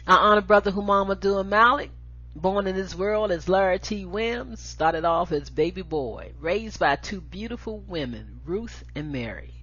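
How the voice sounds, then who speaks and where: An American woman with a husky voice, hosting and reading spoken-word poetry with an emphatic, deliberate delivery. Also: mid-range voice, animated, fairly bright tone. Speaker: show host and poetry reader. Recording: dull lo-fi audio.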